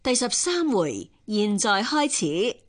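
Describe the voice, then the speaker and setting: A woman's voice: mid-range voice, sing-song, slow. A woman formally reading scripted radio drama titles as an announcer.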